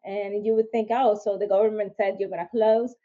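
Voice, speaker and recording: A woman's voice: medium pitch, little pitch movement, brisk, dark tone. A woman from Venezuela with a Venezuelan Spanish accent, a podcast guest telling her personal story earnestly, with frequent restarts. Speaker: podcast guest, firearms instructor. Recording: dull lo-fi sound.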